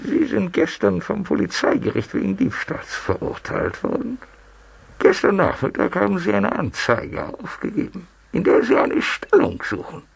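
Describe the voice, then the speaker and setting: An actor playing a cold, menacing blackmail mastermind in a radio crime play, with a husky disguised voice and clipped commands. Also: male voice, high voice, wildly swooping pitch, normal speed, exaggerated voice, rough recording. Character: menacing anonymous blackmail mastermind giving orders.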